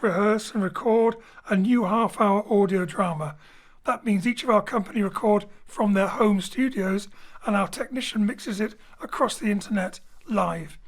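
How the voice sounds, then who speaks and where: A man hosting and introducing an audio drama from his home studio, relaxed and lightly joking. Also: high voice. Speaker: host and director. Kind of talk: friendly show introduction. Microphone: home studio mic.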